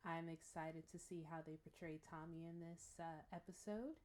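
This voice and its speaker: A woman's voice: low voice, steady tone, moderate pace, darkish tone. A woman hosting a reaction series, talking casually and personally to her viewers.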